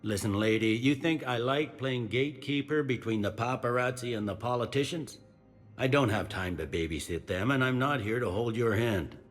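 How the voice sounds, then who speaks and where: An actor playing a gruff, jaded police officer in a sci-fi video game, talking bluntly and colloquially. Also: male voice, medium pitch, normal speed, soft background music, close to an everyday voice. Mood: irritated, dismissive.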